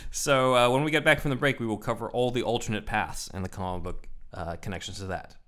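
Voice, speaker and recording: Laughing podcast co-host, low voice. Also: male voice, a bit bright. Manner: quick, laughing, explanatory. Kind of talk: casual chat.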